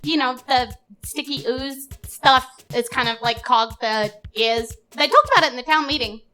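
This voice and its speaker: A woman's voice: sits high, moderate pace, bright, forceful tone, poor audio. A woman with a New Zealand accent voicing a small, bored, quibbling gnome ticket seller in a live tabletop game. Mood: matter-of-fact. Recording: music under a live stage recording.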